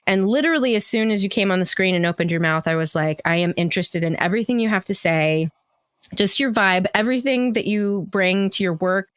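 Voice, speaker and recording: A woman's voice: mid-range voice, a little fast, clean tone, no room sound. Effusive female podcast host, dry close sound. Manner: effusive, informal. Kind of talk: casual warm interview chat.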